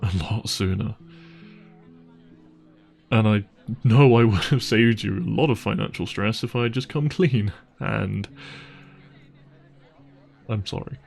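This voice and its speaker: A man acts out a devoted, apologetic boyfriend in an intimate audio roleplay. His voice is low with a gravelly creak, and he speaks tenderly and sincerely, phrase by careful phrase, over faint music. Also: slow, long pauses, a little mellow. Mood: regretful, pleading. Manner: earnest, tender, hesitant phrasing with sighs. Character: apologetic, devoted, secretly wealthy boyfriend on a dinner date. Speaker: voice actor.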